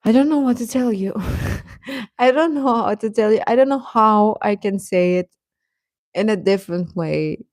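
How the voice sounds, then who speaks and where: A woman's voice: mid-range voice, unhurried, darkish tone, dry recording, clean studio sound. A woman chatting casually on a livestream, giving earnest, encouraging advice and repeating phrases for emphasis in a reassuring way. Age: adult. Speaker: live streamer giving advice to teenage viewers.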